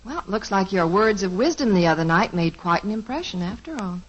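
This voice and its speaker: Teasing mother, lively, animated voice, lo-fi radio. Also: mid-range voice, brisk, husky. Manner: dry, teasing. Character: patient, teasing middle-aged mother and wife. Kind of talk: 1950s radio sitcom acting. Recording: dull lo-fi old radio transcription.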